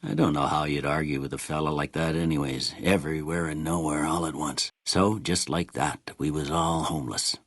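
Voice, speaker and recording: Husky, low-voiced folksy old American doctor. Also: male voice, fairly even intonation, moderate pace, few pauses, no echo, studio quality. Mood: wistful. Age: older. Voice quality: husky, gravelly. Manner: folksy, rustic, plain-spoken drawl. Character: kindly, world-weary old small-town doctor, folksy, plain-spoken. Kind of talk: video game character dialogue.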